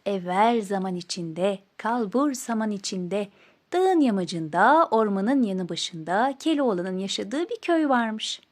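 A woman storyteller narrating a scripted fairy tale with an expressive storybook delivery. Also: mid-range voice, measured pace.

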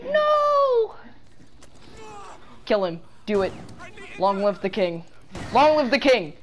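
A man's voice: high voice, huge pitch swings, takes it slowly, fairly bright tone. A young man chatting casually with a friend while playing an online shooter, joking crudely and laughing often. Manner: giggly, joking, crude banter. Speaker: gamer. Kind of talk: casual gaming chat with a friend.

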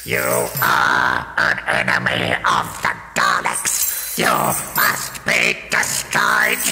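A man's voice: high-pitched, huge pitch swings, very slow, gravelly, sharp tone, long reverb tail, faint music behind, voice pushed up, full character voice. A man voicing a shattered, insane Dalek machine that shrieks its battle cry in a grating, staccato voice. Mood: hysterical fury. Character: damaged insane male Dalek war machine.

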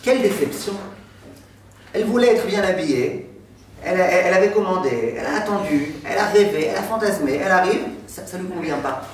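A man in his thirties, a rabbi giving a lively storytelling lesson and joking with his class in a classroom. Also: high voice, a little fast, a little hiss or hum. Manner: animated, gestures broadly, jokes with the class. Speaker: rabbi teaching a Torah class. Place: classroom with a decorated board, indoors. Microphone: far camera. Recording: echoey room from far off.

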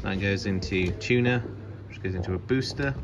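A man's voice: low voice, normal speed, muffled recording, music in the background. Chatty young man vlogging on a phone. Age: 20s. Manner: chatty, jokey, talks to a handheld camera. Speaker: touring musician vlogging. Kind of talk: casual vlog narration. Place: van, hotel room and small venue. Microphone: phone or camera on a handheld grip. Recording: noisy handheld phone audio.

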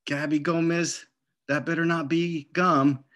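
Storybook mom, acted by a man: firm, exclamatory, raised pitch. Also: flat delivery, a little slow, fairly bright tone. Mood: suspicious, scolding. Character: mother, cheerful baker turning sternly suspicious.

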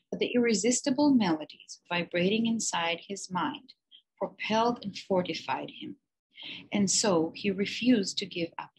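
A woman's voice: medium pitch, gravelly, slightly rough, low-quality recording. A woman teacher reading a children's picture-book biography aloud, with lifted emphasis on key words.

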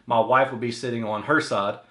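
A man's voice: mid-range voice, steady tone, a little fast, fairly bright tone. American man explaining in an echoey room. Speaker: audio reviewer and host. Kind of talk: explanatory product review to camera. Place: small indoor home office, seated. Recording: roomy echo of a small room.

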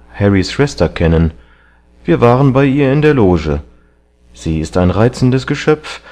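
A man's voice: low voice, a little mellow. A man voicing a callous young dandy in an audiobook novel, haughty, emphatic and defiant.

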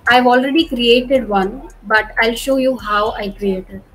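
A woman's voice: on the high side, measured pace, fairly bright tone, poor audio. A young woman presenting a cloud tutorial over screen share, explaining patiently and leaning on "okay" and "you know" between steps. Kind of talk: screen-share technical walkthrough.

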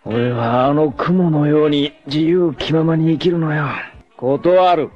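An actor playing a carefree, roguish young martial artist and womanizer in a dramatic anime, with a cocky drawl and rough masculine speech. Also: a little high, slow pace, a little mellow. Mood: defiant, breezy. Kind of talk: dramatic 1980s anime voice acting. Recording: dull lo-fi old TV audio.

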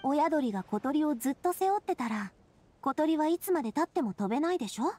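A woman's voice: high voice, expressive, a little fast, dark tone, dry recording. A woman voicing a confident, straight-talking young female ruler in a Japanese video game, encouraging and casually frank. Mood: earnest, assured. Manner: casual, frank, assured speech.

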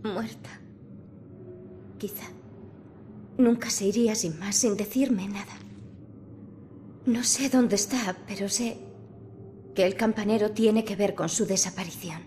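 An actress with a Castilian Spanish accent playing a defiant, sarcastic young medium in a video game, curt and guarded. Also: female voice, medium pitch, a little slow, pauses often, a little mellow, a little hiss or hum, natural voice. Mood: grim. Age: young adult. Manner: curt, snarky. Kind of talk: video game cutscene dubbing.

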